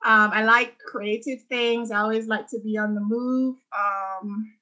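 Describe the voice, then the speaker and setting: A woman's voice: on the high side, steady tone, measured pace, bright, forceful tone, dull audio. A woman in her thirties from Jamaica, a church podcast guest chatting casually about her life, with frequent ums and easy laughs. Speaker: interview guest, architect. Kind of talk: casual interview conversation.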